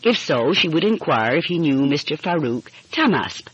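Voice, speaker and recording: A woman's voice: sits low, very animated, normal speed, breathy edge, dry recording. A woman reading a mystery story aloud as scripted audiobook narration. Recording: muffled, dull lo-fi audio.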